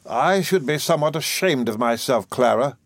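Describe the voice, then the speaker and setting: A man voicing a severe, sour, sharp-tongued spinster in a Victorian storybook, with an emphatic, drawn-out, disapproving delivery. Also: mid-range voice, expressive, no room sound, studio quality, pitched above the performer's own voice. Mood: indignant. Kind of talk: audiobook character in a Victorian novel.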